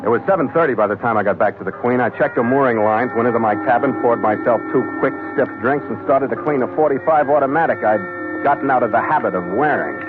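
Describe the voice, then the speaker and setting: An actor playing a tough, suspicious, sardonic sea captain in a 1940s radio adventure, with a clipped, wisecracking delivery. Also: male voice, medium pitch, fast, phone-quality sound, natural voice. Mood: hard-boiled, wry. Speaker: lead actor and narrator. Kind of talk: 1940s radio adventure acting, first-person narration. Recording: band-limited 1940s radio recording with music.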